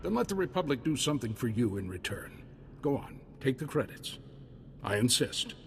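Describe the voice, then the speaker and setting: A man's voice: mid-range voice, sing-song, normal speed, creaky. A hearty military officer with a mid-range voice and a folksy, commanding delivery. He sounds like a video game soldier in a large reverberant space, with faint noise behind him. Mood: grateful, hearty. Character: gruff, hearty, grateful Republic military officer. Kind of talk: scripted video game dialogue.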